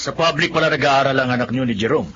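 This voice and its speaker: A man's voice: mid-range voice, quick pace, a bit bright, soft background music, lightly acted. A man playing a confident, blunt older brother back from working abroad, in a Filipino radio drama. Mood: concerned, persuasive. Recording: slightly muffled radio drama audio.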